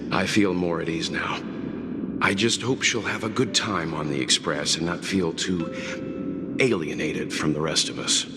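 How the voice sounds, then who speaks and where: A man's voice: low-pitched, a little slow, background noise. A man playing a kindly, thoughtful crewman in a video game, choosing words with care. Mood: gentle, earnest. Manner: considered, careful wording. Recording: reverberant hall effect, music behind.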